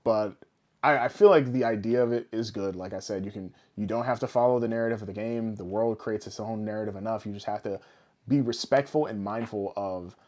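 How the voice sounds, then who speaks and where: A man co-hosting a podcast in casual, animated chat, swearing freely. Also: fairly low pitch, brisk. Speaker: podcast co-host. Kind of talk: casual chat about games and films.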